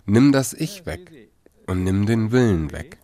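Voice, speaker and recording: A man reading a voice-over translation of an interview in a steady, even manner. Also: fairly low pitch, unhurried.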